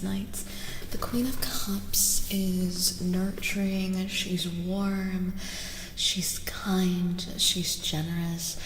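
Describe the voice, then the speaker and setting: A woman speaks very slowly in a soft, soothing ASMR style with a crackly edge to her voice. She gently repeats her phrases as she chats to viewers during tarot readings, and her words trail in a long reverb. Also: mid-range voice, creaky. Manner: gentle, soothing, often repeats phrases softly, sometimes dropping to a whisper. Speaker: streamer giving tarot readings. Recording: reverberant echo, music behind the voice. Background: music.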